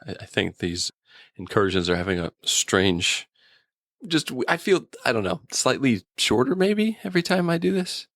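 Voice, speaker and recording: Hesitant man with very lively intonation. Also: medium pitch, moderate pace, no echo, clean studio sound. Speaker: podcast guest in mock hearing. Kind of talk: comedic improvised chat.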